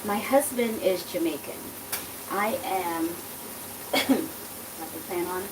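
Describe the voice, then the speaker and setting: A woman's voice: fairly low pitch, slow pace, far from the mic. A woman raised in Trinidad with a Trinidadian accent, chatting casually and rambling as she cooks for her cooking channel. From Dominica, raised in Trinidad and Tobago. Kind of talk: casual cooking vlog chat. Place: home kitchen. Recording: loud kitchen noise, voice off-mic. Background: sizzling food frying.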